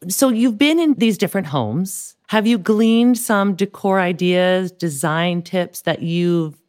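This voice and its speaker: A woman host with a notably low voice, running a podcast interview. She is warm and encouraging and openly admires her guests. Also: slow. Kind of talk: casual interview.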